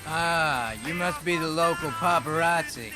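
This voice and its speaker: A man's voice: fairly high pitch, measured pace, natural voice. A man playing a cocky, sarcastic soldier who mocks his companions in a video game cutscene. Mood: cocky, teasing. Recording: music behind the voice.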